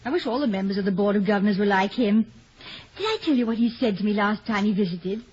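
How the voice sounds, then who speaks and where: An actress playing a playful, affectionate, witty wife of a college president in a 1950s radio comedy, with a teasing manner. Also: female voice, medium pitch, lively intonation, fast, a little mellow, slightly rough. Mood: gleeful. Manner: teasing, lighthearted. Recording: dull, hissy vintage radio recording, faint audience.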